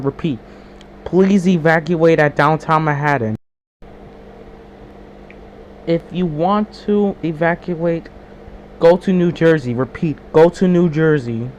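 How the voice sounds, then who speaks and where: A man playing a higher-voiced emergency alert announcer. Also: slight background noise, lightly acted. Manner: formal, repetitive, stilted delivery. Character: solemn emergency alert announcer repeating urgent warnings. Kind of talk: amateur mock emergency broadcast announcement.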